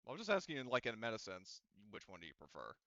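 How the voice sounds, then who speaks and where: Male game master, forceful clear tone, thinking aloud through rolls in casual speech, dry slightly dull recording. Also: lowish voice, huge pitch swings, rapid-fire, dry recording. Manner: thinks aloud while narrating dice results. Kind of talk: running an online tabletop roleplaying game, casual.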